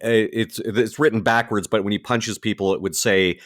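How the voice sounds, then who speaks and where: A man with a fairly low voice plays a tabletop roleplaying game. He describes what his character does in a conversational style, recorded very dry with no room sound. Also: fairly even intonation, normal speed, fairly bright tone, no echo.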